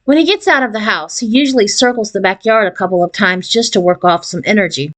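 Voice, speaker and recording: A woman reading a children's novel aloud in an expressive storytelling style. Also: medium pitch, fast. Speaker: audiobook reader.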